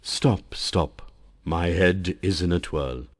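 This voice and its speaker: Male reader doing a calm sun figure, low slow gentle tone. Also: no echo, clean studio sound. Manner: gentle, reasoning.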